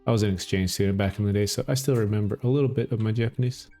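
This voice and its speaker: A middle-aged man with a Minnesota accent, explaining a game in a relaxed, easygoing way. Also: lowish voice, rapid-fire, soft background music. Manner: relaxed, explanatory, chuckles often. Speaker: gaming channel host. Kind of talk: casual gameplay commentary.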